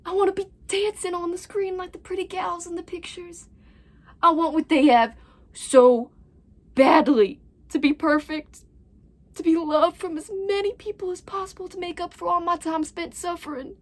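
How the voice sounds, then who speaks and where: Woman acting a high-voiced, desperate young farm wife. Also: steady tone, normal speed. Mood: pleading, despairing. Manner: anguished, swinging between whispers and outbursts. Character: desperate young farm wife confessing to her absent husband. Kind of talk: dramatic film monologue performance. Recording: distant mic with faint background noise.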